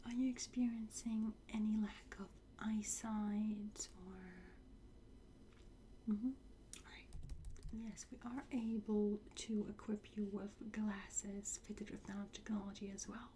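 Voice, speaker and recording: A woman whispers in a soft, dark and breathy tone, in the style of an ASMR roleplay. She plays a courteous attendant, giving gentle, polite instructions. There is a faint hiss in the recording. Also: mid-range voice, fairly even intonation, unhurried. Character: courteous attendant at a time travel suit fitting.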